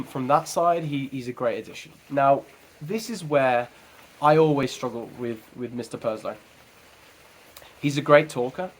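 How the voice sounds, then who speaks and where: A man's voice: mid-range voice, slight background noise, poor audio. A man hosting a fan channel, talking casually on his own, often correcting himself mid-sentence. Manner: chatty, self-correcting, rambling. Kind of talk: casual solo commentary.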